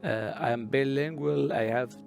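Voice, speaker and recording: A middle-aged man from Syria, a Kurdish and Arabic native speaker, talking as a poet at a literary festival with many drawn-out "uh" pauses and then reciting poetry. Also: medium pitch, vocal fry, darkish tone, soft background music. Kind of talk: hesitant prepared talk, then poetry recital.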